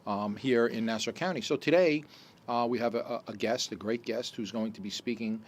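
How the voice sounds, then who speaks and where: A man's voice: mid-range voice, brisk. A man hosting a radio health talk show, asking rambling questions with many uhs. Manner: friendly, rambling questions with fillers. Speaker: radio show host, doctor.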